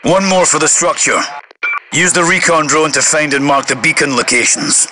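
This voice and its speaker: An actor playing a stern military commander, giving clipped video game mission orders through a radio filter. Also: male voice, a little high, moderate pace, background noise. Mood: businesslike, commanding.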